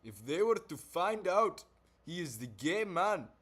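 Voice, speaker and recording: A loud, exaggerated, smarmy voice pitched high above the speaker's own, as a man puts on a mocking Raj character. The words come slow and stretched, pushed into a showy put-on accent. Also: sing-song, slightly rough, heavily acted. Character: mock Indian man called Raj.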